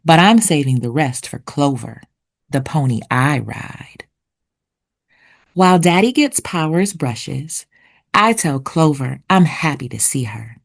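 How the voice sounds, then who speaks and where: A woman narrating for children like a teacher, with deliberate, expressive enunciation. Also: deep voice, creaky. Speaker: read-aloud host and narrator. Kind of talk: children's picture book read-aloud with vocabulary teaching.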